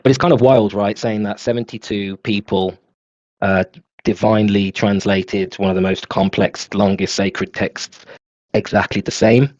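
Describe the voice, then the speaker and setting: A man's voice: fairly low pitch, fairly even intonation, moderate pace, darkish tone, dry recording, lo-fi sound. A man in a casual discussion, often restarting his sentences and asking if others follow. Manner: stumbling restarts, questioning tone.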